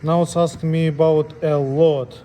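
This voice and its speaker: Higher-voiced man giving step-by-step instructions, mellow tone. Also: little pitch movement, moderate pace, slight background noise. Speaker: scale technician demonstrating calibration. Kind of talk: hands-on tutorial, unscripted step-by-step instructions.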